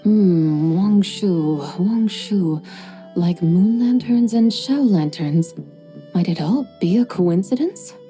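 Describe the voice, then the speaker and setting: An ancient, playful female horse spirit in a game cutscene, with a mellow, mid-pitched voice. She speaks in archaic, poetic turns of phrase with wry amusement. Soft music plays faintly beneath. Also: expressive, takes it slowly. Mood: amused, poetic.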